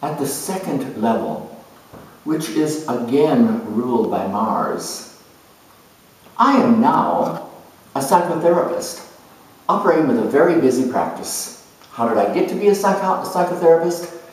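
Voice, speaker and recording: Slow, theatrical man in an echoey room. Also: medium pitch, very animated, darkish tone. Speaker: astrologer dramatizing zodiac character types. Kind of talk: theatrical first-person character monologue. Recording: echoey room, distant microphone.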